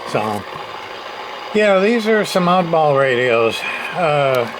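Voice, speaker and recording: A man's voice: a little high, big pitch swings, slow, noisy background. A man giving a casual, unscripted gear demo, rambling along in plain-spoken, folksy grammar like a repairer showing his work. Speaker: radio repairer and online seller showing gear.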